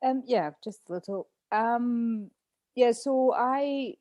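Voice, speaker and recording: A woman with a Scottish accent, a podcast guest talking openly about her life and pausing often with "um" as she thinks. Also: a little high, mellow, dry recording. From Scotland. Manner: open, chatty, many "um"s while thinking. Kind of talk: casual interview chat.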